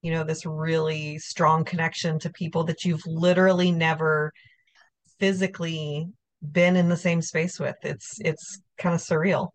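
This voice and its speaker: A woman's voice: low voice, level tone, normal speed. A woman hosting a podcast, chatting casually with a guest, with frequent fillers and restarts. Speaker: podcast host. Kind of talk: casual chat.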